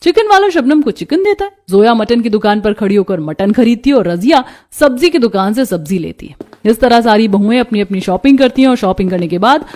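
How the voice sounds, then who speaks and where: A woman's voice: a little high, lively intonation, a little fast, a little mellow. A woman narrating a scripted cartoon story.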